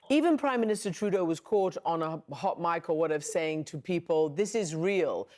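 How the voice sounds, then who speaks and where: Woman, news interviewer, slightly low pitch, direct probing questions. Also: darkish tone.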